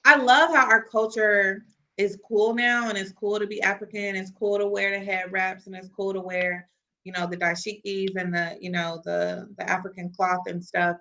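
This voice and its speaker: A woman's voice: mid-range voice, level tone, normal speed, bright, forceful tone. A young woman from the American Midwest, a podcast guest speaking earnestly and reflectively, with frequent "you know" fillers. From Kansas City, US Midwest. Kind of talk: casual conversational interview.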